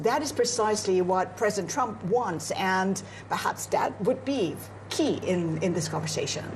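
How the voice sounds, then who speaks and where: A woman's voice: medium pitch, a little mellow, a little hiss or hum. A woman from Singapore, a news anchor, analysing world affairs in a live broadcast discussion with frequent fillers. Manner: fluent, analytical, many "you know" fillers. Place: on location in Beijing.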